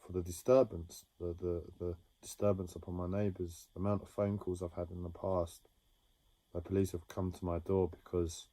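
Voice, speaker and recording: Man, deep dark voice, halting reflective solo talk, dry. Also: level tone, no echo. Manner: halting, with frequent pauses and restarts. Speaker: livestreamer sharing a personal story. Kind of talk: slow reflective monologue. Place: home.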